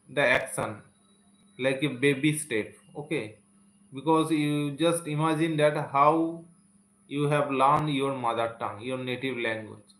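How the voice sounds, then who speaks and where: A man's voice: medium pitch, a bit bright. A man with an Indian accent whose first language is Santali, giving an earnest live-stream talk to English learners and often repeating phrases and saying "okay". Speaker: English teacher. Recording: echoey room.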